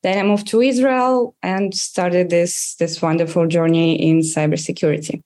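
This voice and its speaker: A woman in her thirties with a Russian accent, a guest answering interview questions in measured, explanatory speech with frequent pauses. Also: on the low side, steady tone, a little mellow. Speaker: guest, threat research director.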